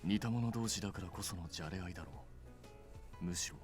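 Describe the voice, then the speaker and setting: An actor playing a stately, kindly headless knight in a Japanese visual novel, with grave, formal speech. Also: male voice, deep voice, racing, close to an everyday voice. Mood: calm, thoughtful. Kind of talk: Japanese game voice acting. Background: game music.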